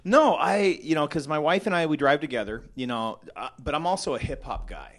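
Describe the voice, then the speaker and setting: Excitable middle-aged male podcast host. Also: on the high side, brisk. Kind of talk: casual chat.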